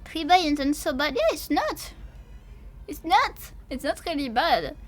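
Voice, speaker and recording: A French woman with a French accent chatting casually on a livestream, halting and repeating herself as she playfully protests to viewers. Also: high-pitched, very animated. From France. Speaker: livestreamer, VTuber.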